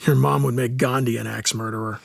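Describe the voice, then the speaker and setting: Man acting a gravelly, exasperated old-school guy. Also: mid-range voice, steady tone, brisk, no room sound, clean studio sound. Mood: subdued, wry. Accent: American. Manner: sardonic, exasperated, grumbling. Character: gruff, exasperated old-school older man teaching a kid. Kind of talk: audio drama comedy dialogue.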